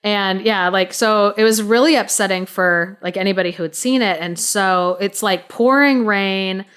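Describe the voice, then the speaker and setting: A woman co-hosting a podcast, telling a story casually in an informal, halting, explanatory way. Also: medium pitch, fairly bright tone, smooth.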